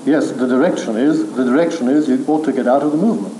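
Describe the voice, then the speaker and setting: Firm male lecturer in an echoey room. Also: medium pitch. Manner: measured, firm, argumentative. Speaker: theology lecturer. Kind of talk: lecture with questions from the floor. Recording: echoey room recording with faint hiss.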